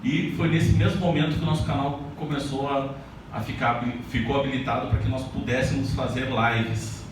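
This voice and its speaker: A man from Paraná talks casually to a live audience at an indoor event, often breaking off and restarting his sentences. His voice is heavily echoey and sounds far from the mic, with clear room ambience behind him. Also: mid-range voice, level tone, a little fast, noisy background. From Paraná, Brazil. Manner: loose and conversational, with frequent restarts. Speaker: author at a book launch. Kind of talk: speech to an audience.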